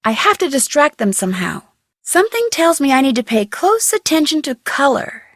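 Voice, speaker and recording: A young American woman playing an inquisitive, plucky amateur detective in an adventure game, speaking earnestly and expressively with clear enunciation. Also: sits high, sing-song, moderate pace, dry recording, studio quality. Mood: determined, musing. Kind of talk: scripted adventure game voice acting.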